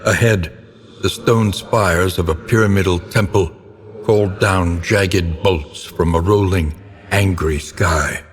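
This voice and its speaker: An actor playing a grave, ominous narrator of gothic horror tales in a video game, with a solemn, theatrical and deliberate delivery. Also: male voice, deep voice, fairly even intonation. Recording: long cavernous reverb, noticeable background noise.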